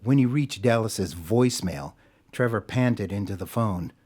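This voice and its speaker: Low-voiced male story narrator. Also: measured pace, a little mellow. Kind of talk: scripted dramatic story narration. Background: music.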